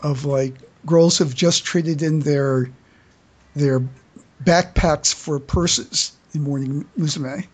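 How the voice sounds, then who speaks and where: Halting, stammering podcast host. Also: medium pitch, fairly even intonation, breathy edge. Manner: halting, stammering, repeats words. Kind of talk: casual fan podcast discussion.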